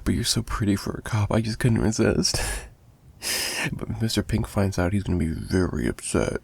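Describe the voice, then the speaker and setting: A man's voice: fairly low pitch, very animated, moderate pace, vocal fry, darkish tone, breathy edge, heavily acted. A man playing an obsessive, menacing mafia kidnapper in an ASMR roleplay, possessive and teasing, with a taunting delivery that swings between murmurs and giggles. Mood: gleeful, possessive. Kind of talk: dramatic ASMR roleplay monologue.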